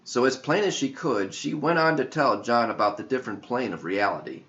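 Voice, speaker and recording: A man narrates an audiobook story in verse with solemn, poetic phrasing. His mid-range voice moves with lively intonation. The recording sounds a bit dull and lo-fi. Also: medium pitch, fairly quick. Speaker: author reading his own verse story.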